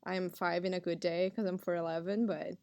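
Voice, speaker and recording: A woman's voice: lowish voice, level tone, brisk, gravelly, darkish tone, dry recording. A woman hosting an interview podcast, friendly and giggly as she asks her questions. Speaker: podcast host. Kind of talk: casual interview conversation.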